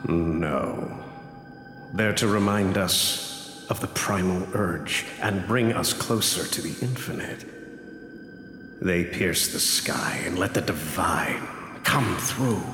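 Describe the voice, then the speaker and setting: Radio drama villain: blind cult hierophant, grandiose and ominously serene, very low voice in a reverberant hall. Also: male voice, sharp tone, slight background noise, exaggerated voice. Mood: grandiose, foreboding. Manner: grandiose, slow, sermonizing. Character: sinister blind cult leader, serene, grandiose prophet of oblivion. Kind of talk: theatrical radio drama acting. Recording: echoing hall with long reverb, music behind.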